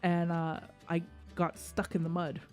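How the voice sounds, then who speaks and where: A woman's voice: fairly low pitch, monotone, measured pace, a little mellow, soft background music. A woman in casual podcast conversation, telling small stories in a wry, teasing way.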